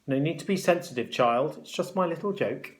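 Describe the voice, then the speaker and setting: A man voicing a cruel, bossy hospital matron with a sharp, mocking, snapping delivery in a children's story. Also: on the high side, animated, brisk, pitched above the performer's own voice, lightly acted. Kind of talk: children's story read aloud.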